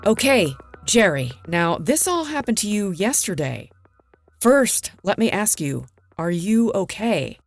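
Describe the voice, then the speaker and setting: A woman's voice: medium pitch, sing-song, unhurried, dry recording, faint music behind. A woman playing a composed radio interviewer questioning her colleague with concern in an audio drama.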